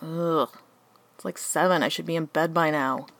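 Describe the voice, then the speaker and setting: A woman's voice: deep voice, steady tone, moderate pace, a bit bright, no room sound. A woman playing a weary, sarcastic, easily distracted angel in a comic radio play, offhand and exasperated.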